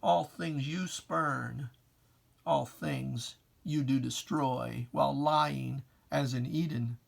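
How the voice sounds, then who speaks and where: A reciter performs religious verse as a dramatic reading at a very unhurried pace. The delivery is solemn and declamatory, with emphatic phrasing and old-fashioned diction. The voice sits at a medium pitch. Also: creaky. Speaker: poetry reciter.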